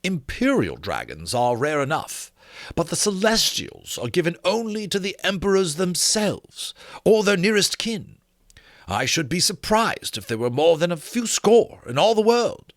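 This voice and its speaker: Man voicing a courteous, higher-pitched gentleman scholar. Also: very animated, a little slow, no echo, clean studio sound, heavily acted. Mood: grave, explanatory. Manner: formal, courteous, explanatory. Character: Sir Edward, learned, courteous, grave gentleman scholar. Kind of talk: dramatic audiobook character reading.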